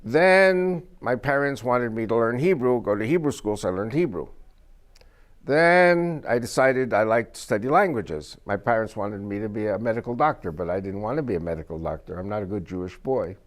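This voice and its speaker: Older man whose first language is Spanish, a joking storyteller guest speaker with a somewhat low voice. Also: expressive, moderate pace. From United States. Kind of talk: informal talk to a small group.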